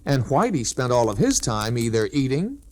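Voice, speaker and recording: A man's voice: mid-range voice, sing-song, moderate pace, a little mellow. A man narrating a scripted children's story in 1960s storybook-record style.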